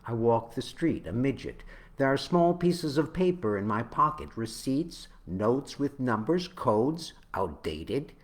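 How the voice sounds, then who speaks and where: A man reading his own spoken-word poem, with halting, deliberate phrasing that moves between dramatic swells and hushed drops. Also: mid-range voice, very animated.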